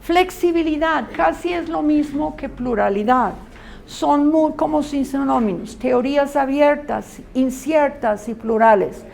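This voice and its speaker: A woman's voice: high-pitched, measured pace, gravelly, darkish tone, slight background noise. A woman Bible teacher giving a conversational classroom lecture to students. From Colombia. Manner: earnest, conversational teaching with asides.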